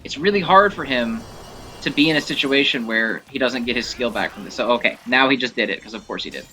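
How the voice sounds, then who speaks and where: A man giving casual, rambling commentary on gameplay in an informal, animated way. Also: mid-range voice, fast talker, slight background noise, poor audio. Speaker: gameplay showcase host.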